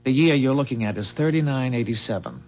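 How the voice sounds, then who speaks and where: An actor playing an earnest, secretive inventor from a bleak future in a 1970s radio drama, explaining things with hesitant, measured pauses. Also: male voice, mid-range voice, lively intonation, faint music behind, natural voice. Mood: revealing. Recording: muffled 1970s radio broadcast.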